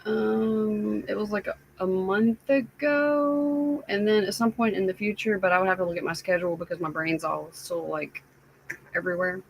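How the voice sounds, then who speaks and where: A woman live streamer chatting casually with her viewers, sounding stuffy and raspy, rambling with lots of fillers. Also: mid-range voice, slight background noise, poor audio. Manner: slow, rambling, many fillers.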